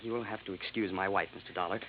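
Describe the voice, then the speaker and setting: Man acting quick-talking, strained, stuffy young husband. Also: sits low, steady tone, lightly acted. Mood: strained, apologetic. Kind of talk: 1950s American radio drama acting. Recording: noisy muffled vintage radio recording.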